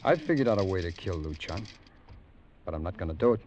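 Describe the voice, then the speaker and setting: A man's voice: low-pitched, expressive, fast talker, a little mellow, a little hiss or hum. An actor playing a cynical, world-weary tough guy with a conscience in a laconic 1940s radio drama style. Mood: weary, firm. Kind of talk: 1940s hard-boiled radio drama acting. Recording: dull old radio recording, music behind.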